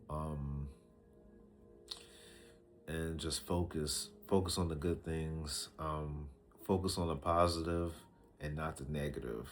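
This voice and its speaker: A man in his early thirties talking to a camera, giving casual life advice in a slow, reflective way, often repeating himself with fillers and restarts. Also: extremely low pitch, a little hiss or hum. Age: 30s. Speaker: vlogger.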